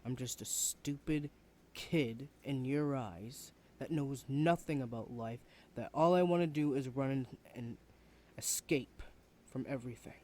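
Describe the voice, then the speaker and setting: A man playing a rude, defensive young university student in an intimate ASMR roleplay, talking in a sulky, halting way. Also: medium pitch, a little mellow, a little hiss or hum, natural voice. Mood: hurt, bitter. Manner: sulky, halting, breathy sighs, swearing.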